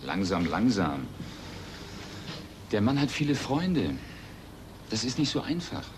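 An actor playing a cautious, kindly journalist in a radio play, speaking earnestly and hesitantly, as if to children. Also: male voice, on the low side, sing-song, slow pace. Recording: noisy radio play tape.

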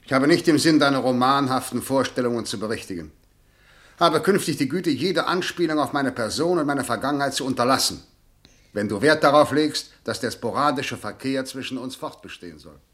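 An actor playing a cold, authoritarian middle-aged prosecutor and father in a German radio drama, formal, sardonic and husky. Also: medium pitch, lively intonation, a little fast, fairly bright tone. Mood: icy, cutting.

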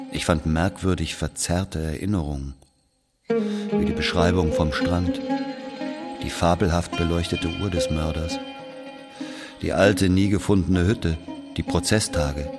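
A man playing a wistful Algerian narrator recalling love and loss in a literary audio drama. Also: sits low. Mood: bitter. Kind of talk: dramatic first-person narration.

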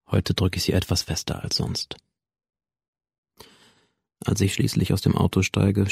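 Radio drama lead: cold, brooding schoolboy monologue, gravelly voice, close dry sound. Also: male voice, deep voice, a little mellow, no room sound, heavily acted. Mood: tense, brooding. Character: cold, alienated teenage schoolboy narrating his violent inner world.

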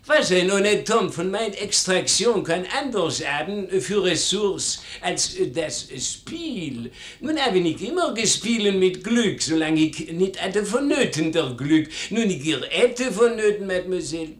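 An actor playing a boastful, penniless French ex-captain and gambler, speaking halting broken speech with a French accent in 1950s radio drama style. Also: male voice, high-pitched, animated. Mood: self-pitying. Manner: halting broken German peppered with French, florid. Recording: roomy 1950s radio studio recording.

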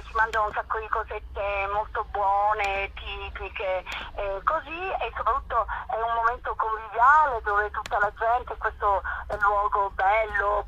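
Woman on a phone interview, highish bright voice, keeps stopping n repeating words. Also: dull audio. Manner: hesitant searching delivery with repeated words. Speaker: festival director, actress, interview guest. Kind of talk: unscripted radio interview.

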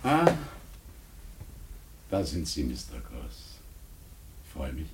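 An actor playing a courteous, haunted returned emigrant in a German radio drama, speaking deliberately and theatrically. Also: male voice, rumbling low, wildly swooping pitch, very noisy, full character voice.

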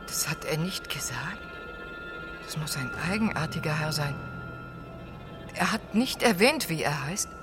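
A woman's voice: low voice, expressive, a little slow, long pauses, over music. A woman playing a wary but gullible lone woman in a radio play, questioning and wondering. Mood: wary, curious. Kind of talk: German radio play acting.

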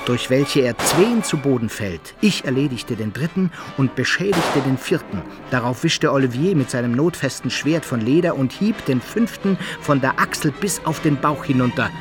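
An actor playing an adventurer who recounts his rough exploits in an articulate storytelling manner, in archaic German for a radio play. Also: medium pitch, lively intonation, normal speed, over music, lightly acted. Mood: narrating, grim.

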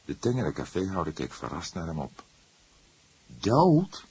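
Deep, husky male audiobook narrator. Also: expressive, brisk. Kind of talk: scripted literary narration.

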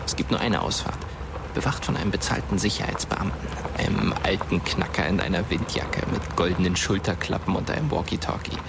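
Low gravelly brooding young storyteller. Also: male voice, fairly quick, heavy background noise. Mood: brooding, measured. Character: dead teenage boy narrating his friend's night, brooding. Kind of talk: scripted radio drama narration. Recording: music bed behind the voice. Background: loud atmospheric music.

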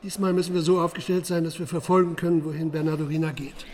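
An actor playing a gruff, driven police squad leader briefing his team in a brusque, commanding way in a radio crime drama. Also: a little high, little pitch movement, fast talker, very noisy, close to an everyday voice.

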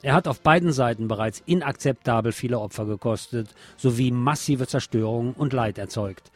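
A man's voice: mid-range voice, moderate pace, no echo. A man presents scripted political news on the radio in a mid-range voice. He reads reports and commentary. The sound is very dry, with no audible room.

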